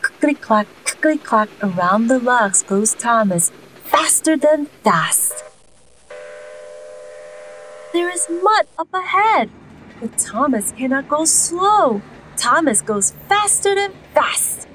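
Female storyteller for picture books, slow and very lively, slight background noise. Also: sits high, poor audio. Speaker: storyteller reading a children's book aloud. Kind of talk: expressive read-aloud storytelling.

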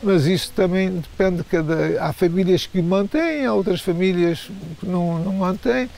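A man's voice: sits high, normal speed, creaky, a little mellow. An older man, answering interview questions hesitantly, with frequent restarts and repeated words.

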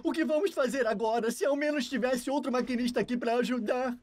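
A performer voicing a friendly, excitable talking steam train in a Brazilian children's cartoon dub, jolly and animated in manner. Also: mid-range voice, fast talker, dry recording, natural voice. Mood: jolly, helpful.

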